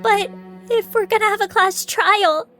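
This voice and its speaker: A woman's voice: very high voice, unhurried, a bit bright, soft background music. A woman voicing an anxious, upset teenage schoolgirl with fretful, hesitant delivery in an anime fandub. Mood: distressed, worried.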